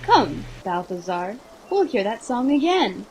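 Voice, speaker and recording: A woman's voice: mid-range voice, sing-song, a little slow, over music. A woman playing a courtly, coaxing prince in a Shakespearean radio play, with theatrical delivery. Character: Don Pedro, courtly prince coaxing a singer.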